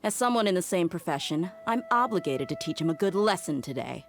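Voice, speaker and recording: A woman's voice: on the low side, big pitch swings, a little fast, faint music behind. A woman playing a confident, fierce desert mercenary in a video game, casual and self-assured with a wry edge. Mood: determined.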